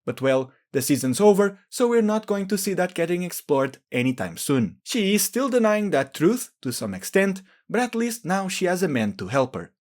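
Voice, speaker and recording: A man's voice: high voice, very animated, normal speed, hardly any pauses, a little mellow. A man presenting a scripted video essay as a commentator, giving his own opinions on game lore.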